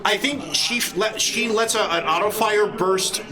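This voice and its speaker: A man acting as game master of a live tabletop roleplaying game, narrating and explaining rules casually in halting, broken phrases. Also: sits high. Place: large indoor convention hall, live event. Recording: live convention hall with crowd noise.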